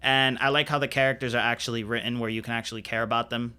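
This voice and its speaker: A man's voice: mid-range voice, steady tone, fast, sharp tone, clean tone. A man co-hosting a podcast in casual, chatty, slangy conversation. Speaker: podcast co-host. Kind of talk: casual chat.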